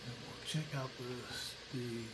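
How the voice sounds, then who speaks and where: A man with a scratchy voice giving a relaxed, halting walkthrough of a video game, explaining as he plays. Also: medium pitch. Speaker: gameplay commentator. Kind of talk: casual let's-play walkthrough commentary. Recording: loud laptop hiss behind voice.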